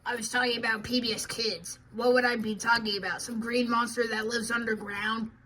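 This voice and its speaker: A woman's voice: a little high, little pitch movement, brisk, sharp tone, slight background noise. A woman voicing a teasing sidekick who plays innocent while needling the president.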